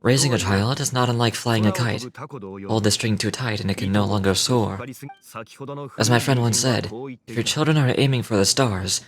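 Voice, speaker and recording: A man playing a composed, wise and courteous young-looking gentleman in a video game, with measured, formal, philosophical delivery. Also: lowish voice, a crowd in the background, close to an everyday voice. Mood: calm, reassuring.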